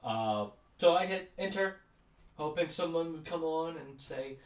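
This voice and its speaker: A man's voice: fairly high pitch, lively intonation, measured pace. A man co-hosting a podcast in casual chat, rambling and cynical. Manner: conversational, rambling, cynical asides. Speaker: podcast co-host. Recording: roomy sound, mic at a distance.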